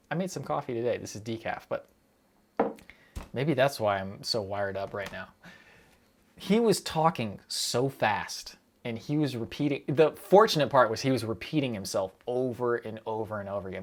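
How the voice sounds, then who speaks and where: A young man chatting casually on a live stream, rambling quickly and breaking off his own sentences as he answers viewers. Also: mid-range voice. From United States. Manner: rambling, quick, self-interrupting with fillers and laughs.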